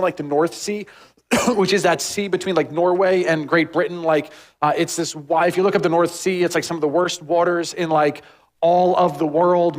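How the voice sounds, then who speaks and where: A pastor preaching a casual, rambling sermon, full of "like" and asides to the congregation. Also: male voice. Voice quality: says he has a deep voice. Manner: rambling, animated, heavy use of "like".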